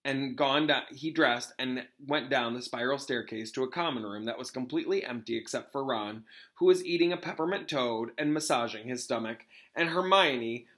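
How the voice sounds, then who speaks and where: A man's voice: mid-range voice, moderate pace, fairly bright tone. A man reading a novel aloud as a teacher, expressively, with informal explanatory asides.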